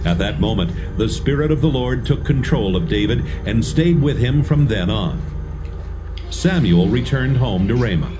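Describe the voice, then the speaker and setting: A man's voice: medium pitch, lively intonation, normal speed, music in the background. A man narrating a dramatised audio Bible from a script.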